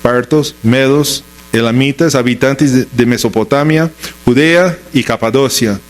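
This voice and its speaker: A man's voice: mid-range voice, a little slow. A man with an American accent and English as his native language, preaching a sermon as a pastor with rhetorical questions and emphatic, repeated short phrases. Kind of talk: Sunday sermon with scripture reading and storytelling.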